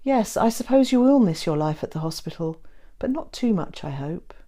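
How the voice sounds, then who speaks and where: Woman acting devoted gentleman in love, mellow tone, courteous, formal, reassuring, romance audiobook. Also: lowish voice, animated, moderate pace. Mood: tender, assured. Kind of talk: romance audiobook character reading.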